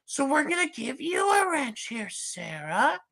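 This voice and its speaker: A man voicing a gushing woman host in falsetto on a comedic livestream, starting almost every line with "well". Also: huge pitch swings, a little slow, creaky, bright, forceful tone, heavily acted. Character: shrill fake woman hostess, gushing. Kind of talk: comedic livestream character bit.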